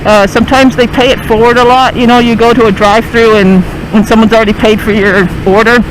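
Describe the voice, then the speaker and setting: A man's voice: very high-pitched, flat delivery, quick pace, background noise. A man originally from the Northeast US with a mixed American, partly Texan accent, rambling easily with many fillers as he narrates a motorcycle ride on country roads. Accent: mixed American, part Texan. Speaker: motorcycle vlogger. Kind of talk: casual narration while riding.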